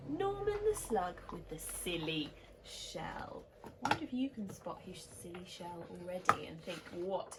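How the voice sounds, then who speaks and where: A woman teacher reading a picture book aloud to children, animated and expressive with soft whispered asides. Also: lowish voice, heavy background noise. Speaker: teacher reading a bedtime story.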